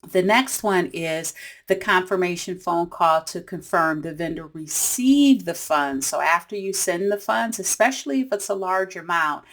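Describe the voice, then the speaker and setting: Low-pitched woman explaining business tips. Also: measured pace. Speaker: host of a tips series. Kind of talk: instructional explainer for business teams.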